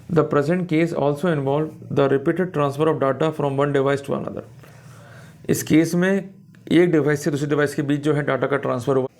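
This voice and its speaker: An Indian man giving a didactic, explanatory law lecture, deliberately explaining points as a teacher does. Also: fairly high pitch, vocal fry, a little mellow. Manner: didactic, often checks that listeners follow. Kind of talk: explanatory lecture mixing Hindi commentary with English read-outs.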